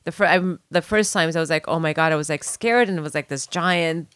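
A woman in her forties, from Afghanistan, talking in a somewhat low voice. Her talk is chatty and emphatic, with quick back-and-forth. The sound is dry and close, with no room around it. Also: a bit bright, smooth, no echo. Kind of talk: casual chat.

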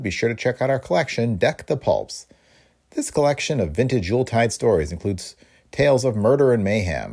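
A man's voice: mid-range voice, creaky, dark tone, husky. An American man reading a scripted introduction as a podcast host.

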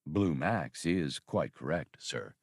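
A man voicing a polite, deferential labor droid with an unhurried drawl in a sci-fi audiobook. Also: a bass voice, animated, breathy edge, no room sound, studio quality, voice pushed down, full character voice. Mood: mild, polite.

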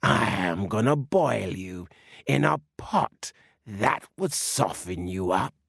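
A man voicing a hungry, scheming male coyote trickster in a lower, slow, sly voice in a storybook tale. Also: animated, gravelly, a bit bright, husky, no room sound, studio quality, heavily acted.

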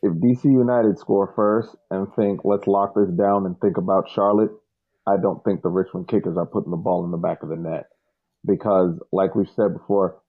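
A man co-hosting a fan podcast, giving blunt, analytical takes. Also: low-pitched. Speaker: podcast co-host. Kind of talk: casual podcast chat. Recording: tinny phone-like line.

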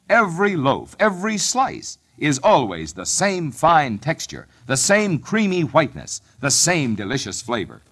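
A man's voice: on the high side, fairly bright tone. A man announcing a commercial in old-time radio advertising style. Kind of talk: 1940s-50s radio advertisement.

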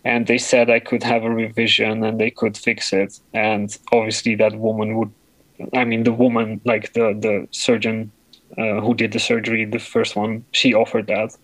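A man with a deep voice giving a personal account in an interview, often pausing and searching for words. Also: monotone, a bit bright, no room sound, poor audio. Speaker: interview guest.

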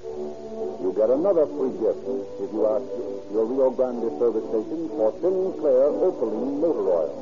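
1930s American radio announcer, muffled old recording. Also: male voice, medium pitch, big pitch swings, moderate pace, music in the background. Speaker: radio announcer and program host. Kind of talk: scripted 1930s radio announcing and sponsor pitch.

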